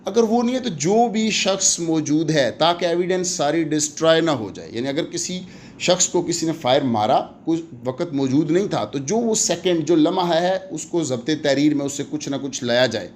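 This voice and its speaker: A man's voice: fairly high pitch, animated, normal speed, a little hiss or hum. A man with a Pakistani accent giving an explanatory lecture in a didactic way, switching between two languages and posing questions that he then answers. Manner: didactic, mixes Urdu and English, poses questions then answers them. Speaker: law lecturer. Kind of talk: explanatory exam-prep lecture.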